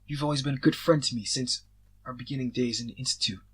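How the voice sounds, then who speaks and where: Man putting on an excitable, affectionate woman, pitched up. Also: fast, slightly rough. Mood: grateful, embarrassed.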